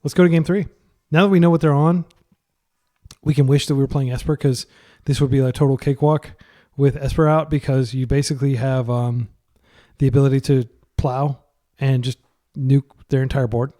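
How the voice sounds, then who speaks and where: Chatty man with a mellow voice. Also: medium pitch, steady tone, normal speed. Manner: chatty, thinking aloud with fillers. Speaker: gaming commentator. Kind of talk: casual gameplay commentary.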